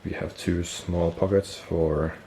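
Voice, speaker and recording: A man with a stuffy nose, casually explaining military gear on camera, relaxed and thinking aloud with fillers and self-corrections. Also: low-pitched, slow, a little hiss or hum. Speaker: military gear collector and video host. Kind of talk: casual show-and-tell explanation.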